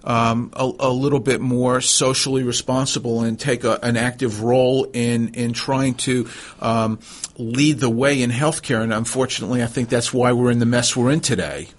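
Middle aged new york guy hosting a radio talk show, kinda halting, lots of pauses, normal pitch. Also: fairly even intonation. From New York, USA. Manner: halting, hesitant delivery with frequent pauses.

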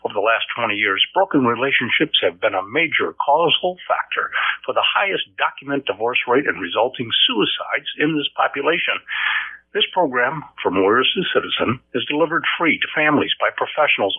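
A man reading an earnest, measured appeal for a charity. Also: mid-range voice, breathy edge. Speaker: charity spokesman in an ad. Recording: tinny phone-like audio.

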